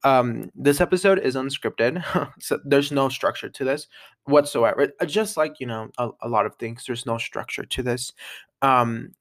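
A young man hosting an unscripted podcast, chatting casually and rambling, with lots of fillers, restarts and quick laughs. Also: mid-range voice, a bit bright. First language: English. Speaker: podcast host.

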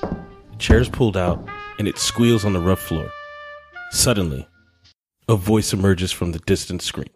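A man's voice: low-pitched, a little slow, long pauses, soft background music. A man reading scripted narration and stage directions for an amateur radio drama. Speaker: narrator of a script, podcast co-host.

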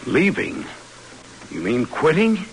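An actor playing a stern, short-tempered Major leading an expedition in an old-time radio drama. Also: male voice, on the high side, huge pitch swings, slow, creaky, slight background noise, exaggerated voice. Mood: indignant. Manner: brooding in narration, explosive and domineering in command. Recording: old radio recording with music.

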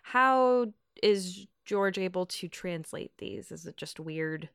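A woman's voice: fairly low pitch, expressive, a bit bright, clean tone, no room sound, studio quality. A woman co-hosting a podcast, reacting to a story with sarcastic quips and questions. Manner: sarcastic, quick quips, laughs often. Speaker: podcast co-host. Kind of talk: casual chat, reacting.

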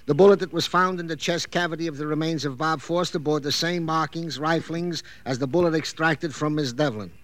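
An actor playing a fussy, pompous police sergeant in an old radio crime drama, with stilted, officious phrasing. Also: male voice, fairly high pitch, fairly quick, hardly any pauses, a bit bright, lightly acted. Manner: stilted, over-formal, officious.

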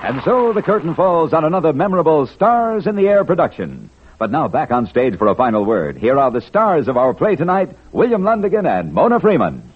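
Male announcer, highish voice, swooping delivery, scripted, vintage radio, thin muffled recording. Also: big pitch swings, a little fast, a little hiss or hum.

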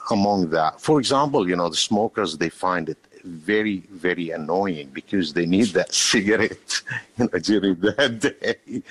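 Middle-aged man from Palestine, podcast guest; deep, slow, hesitant delivery. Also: breathy edge, no room sound. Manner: slow, hesitant, many fillers, laughs often. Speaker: guest, shop owner. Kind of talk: podcast interview.

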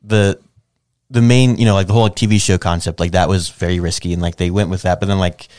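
A man's voice: low-pitched, fairly even intonation, fast talker, darkish tone, clean tone, no echo, studio quality. A man co-hosting a podcast in casual, conversational talk about films. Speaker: podcast co-host. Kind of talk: casual film discussion chat.

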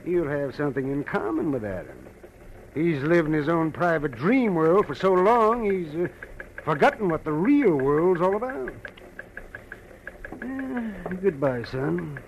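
Casting: old-school night editor, high voice that swoops about, folksy and fussy, faintly noisy vintage radio. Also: male voice, lively intonation, unhurried, lo-fi sound. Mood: skeptical, amused. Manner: folksy, fussy, hesitant muttering. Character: fussy old-school small-town night newspaper editor, male, older. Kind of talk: 1940s radio drama character acting.

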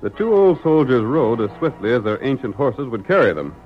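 A man's voice: medium pitch, sing-song, fairly quick, lightly acted. A man narrating a Western radio drama in a dramatic, scripted old-time style. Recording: dull vintage radio recording with music.

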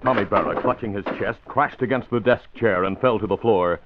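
A man's voice: on the low side, brisk, noisy background. A man narrating a 1940s radio crime serial in a formal, dramatic storytelling delivery. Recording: muffled old radio recording. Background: dramatic music.

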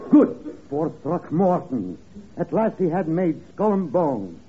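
An actor playing a pompous old college dean in a 1950s radio comedy, mournful, stagey and drawn out. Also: male voice, a little high, big pitch swings, a faint crowd. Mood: grave, stagey. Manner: stagey, slow, drawn-out delivery. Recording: crackly old radio broadcast, narrow band, studio audience.

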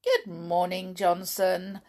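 Lady doing a storybook uncle, middle-aged gent, polite but gloating, husky and lively. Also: mid-range voice, slow, pitched above the performer's own voice, exaggerated voice. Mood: amiable. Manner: courteous, drily gloating. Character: amiable, smugly triumphant middle-aged male scientist uncle.

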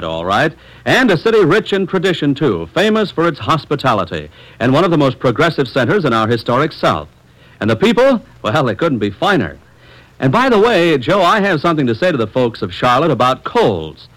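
A man working as an old-time radio announcer, delivering a folksy, emphatic sales-style pitch. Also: fairly bright tone, rough recording.

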